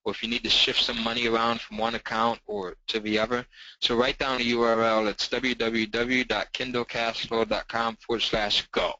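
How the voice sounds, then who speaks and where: A man signing off a live webinar, circling back and repeating himself. His voice is bright and forward. The sound is dry and muffled, like compressed web audio. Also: medium pitch, little pitch movement, moderate pace, no room sound. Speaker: webinar presenter.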